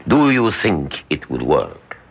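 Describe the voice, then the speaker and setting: Very deep, gravelly, calculating conspirator. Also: male voice, huge pitch swings, heavily acted. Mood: coolly conspiratorial. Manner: measured, formal, deliberate. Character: smooth-talking, calculating male conspirator, secretly an examining official. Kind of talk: old-time radio drama acting. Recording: dull, muffled old radio recording.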